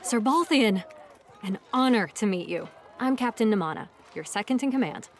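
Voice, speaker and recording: An actress playing an earnest young female army captain in an audio drama, with a crisp, military way of speaking. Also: mid-range voice, lively intonation, moderate pace, soft background music, lightly acted. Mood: nervous, respectful. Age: young adult. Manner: crisp, deferential military address.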